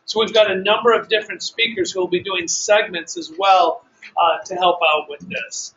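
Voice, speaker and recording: A man with a loud voice hosting a business training seminar in a hotel meeting room, running through the day's plan briskly with many fillers. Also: high-pitched, steady tone. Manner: brisk organizer with filler words. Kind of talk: live seminar talk to a room. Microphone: room recording, mic not working. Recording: dull lo-fi room recording, faint noise.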